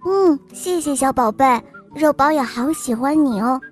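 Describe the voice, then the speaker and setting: A young woman hosting a children's bedtime story show, narrating in a sweet, sing-song, gently coaxing manner. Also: high voice, animated, moderate pace, soft background music.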